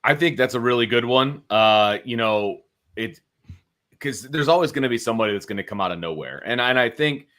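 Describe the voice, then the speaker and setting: A man's voice: mid-range voice, lively intonation, fairly quick, pushed and bright. A man casually talking football as a sports show co-host, opinionated and conversational, repeating phrases for emphasis.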